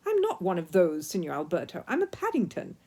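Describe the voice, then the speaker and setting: A woman's voice: fairly low pitch, huge pitch swings, fairly quick, a little mellow, husky, full character voice. A woman voicing a polite, earnest young male bear who is easily indignant, in a children's storybook. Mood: indignant, puzzled. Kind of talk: children's storybook character reading.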